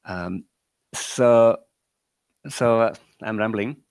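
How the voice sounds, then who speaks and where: A man's voice: on the low side, drawn-out words, darkish tone, smooth, no room sound. A middle-aged man with a Croatian accent, an entrepreneur giving rambling closing remarks at a panel, firing off rhetorical questions and filling gaps with "you know".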